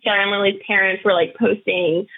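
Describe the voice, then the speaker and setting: A woman calling in to a show with a personal update, her voice hoarse and froggy, talking haltingly and earnestly with many restarts. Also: mid-range voice, steady tone. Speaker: caller. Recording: tinny phone line.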